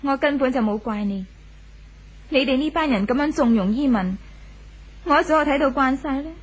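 An actress playing a proud, cutting young wife in a 1970s radio drama, cool and scornful. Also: female voice, high voice, normal speed, close to an everyday voice. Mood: cool, aloof. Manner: haughty, cutting. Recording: old 1970s radio broadcast.

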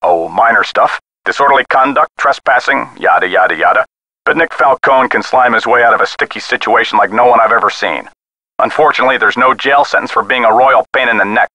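Game role: skeptical, weary American cop on the phone, mid-pitched, hard-edged, folksy and condescending. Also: male voice, a little fast, bright, forceful tone, no echo, poor audio. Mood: cynical. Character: dismissive, weary male police sergeant, skeptical and patronizing. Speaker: police missing-persons officer. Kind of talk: video game voice acting.

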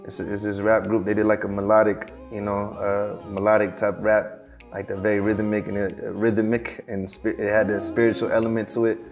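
Soft-spoken young man, flat low voice. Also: faint music behind. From Morocco, raised in Seattle. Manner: halting, many fillers and restarts, soft-spoken. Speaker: guest, performing artist. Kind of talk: casual interview answers. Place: dark studio set.

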